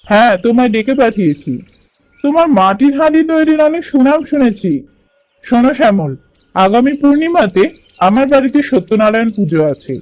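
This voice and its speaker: A man's voice: very high voice, animated, brisk, a little mellow, muffled recording. A man voicing a stern, commanding village landlord in a cartoon. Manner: commanding, gives orders.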